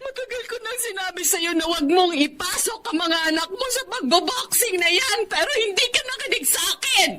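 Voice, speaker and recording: A protective, sorrowful mother in a Filipino radio drama. Her high voice is heavy with melodramatic feeling, with clear background noise behind it. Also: lively intonation, fairly quick, hardly any pauses. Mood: grieving, accusing. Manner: melodramatic, emotional. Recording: noisy background ambience.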